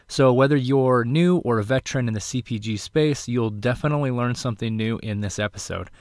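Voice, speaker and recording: A man's voice: mid-range voice, fairly quick, a little mellow, no room sound, clean studio sound. A man hosting the show, reading a friendly scripted introduction to the episode.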